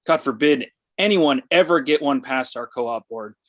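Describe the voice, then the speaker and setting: A man's voice: mid-range voice, moderate pace, a bit bright, lightly acted. A man playing a stuffy, snobbish, well-off husband in a comedy table read. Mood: disapproving.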